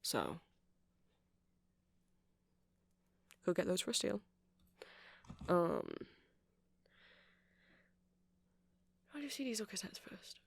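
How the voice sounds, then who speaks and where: A man's voice: high-pitched, huge pitch swings, moderate pace, gravelly, no echo, exaggerated voice. A man playing a nerdy, friendly vampire music shop clerk in an ASMR roleplay, rambling and hesitant as he explains things. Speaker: shopkeeper character in ASMR roleplay.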